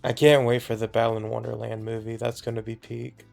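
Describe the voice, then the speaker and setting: A man with a gravelly, mid-pitched voice, chatting on a podcast in a deadpan, sarcastic way. Faint music plays behind him. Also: brisk. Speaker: podcast co-host. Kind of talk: casual podcast chat.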